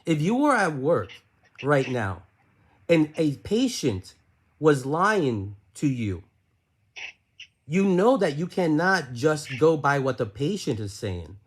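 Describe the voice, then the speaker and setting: A man's voice: fairly high pitch, very animated, slow, breathy edge. A man in a heated phone argument, insistently repeating himself and pressing question after question. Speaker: caller questioning his aunt.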